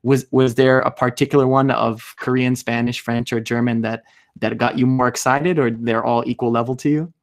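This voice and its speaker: A young man hosts a podcast conversation from a small room at home, speaking into a studio mic. His pitch sits in the middle of the usual range. He thinks aloud, doubling back on words and leaning on fillers as he goes. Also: little pitch movement. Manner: thoughtful, halting with frequent restarts and fillers. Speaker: podcast host. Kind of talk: casual video-call interview. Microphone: studio mic with headphones.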